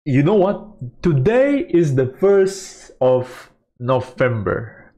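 A man's voice: mid-range voice, very animated, slow, mellow. A man giving casual live reaction commentary, exclaiming and repeating his phrases. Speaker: reaction video host. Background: game trailer music.

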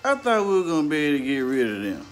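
A man's voice: on the high side, wildly swooping pitch, very fast, faint music behind. Laid-back man hosting a family cooking vlog. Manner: laid-back, joking, addresses viewers as family. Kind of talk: casual family vlog chat.

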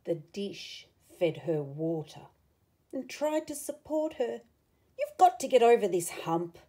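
A woman reading a picture book aloud to children, with expressive, dramatic emphasis and asides to the listener. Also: mid-range voice, takes it slowly, slightly rough.

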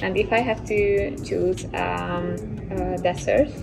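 A young woman from Hungary answering interview questions outdoors, slow and hesitant with many fillers. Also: fairly low pitch, fairly even intonation, gravelly, recorded from a distance, low-quality recording. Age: young adult. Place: outdoor courtyard by glass building. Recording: music underneath.

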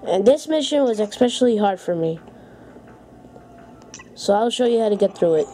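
A woman's voice: mid-range voice, lively intonation, normal speed, warm tone, faint music behind. A woman giving a casual, plainly worded game walkthrough as host of a gameplay video. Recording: noisy camera recording of handheld game. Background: handheld game audio.

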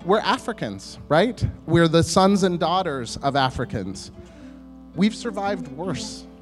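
A man's voice: fairly high pitch, lively intonation, slow, over music. A man giving a rousing rally speech. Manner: rousing, emphatic. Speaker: rally speaker.

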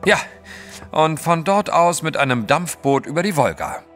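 An actor playing a courteous, formal British gentleman adventurer in an audio drama. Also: a little high, expressive, normal speed, soft background music. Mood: polite, composed. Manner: polite, formal.

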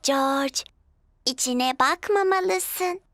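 A performer voicing a cheerful, bossy little girl piglet in a children's cartoon dub, speaking in an assertive, expressive childlike way. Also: high-pitched, very slow, heavy background noise, full character voice. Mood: bossy, explaining.

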